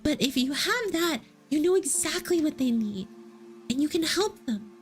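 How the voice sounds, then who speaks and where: A woman's voice: sits high, expressive, normal speed. A woman streaming as a VTuber, chatting casually and answering would-you-rather questions. She talks in a playful, giggly way and teases her chat.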